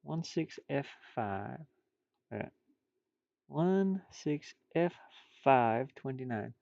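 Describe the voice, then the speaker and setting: A man chatting casually on a livestream while drawing, rambling and muttering to himself, with bursts of loudness. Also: mid-range voice, expressive, very slow, gravelly, no room sound, lo-fi sound. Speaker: streamer drawing digital art.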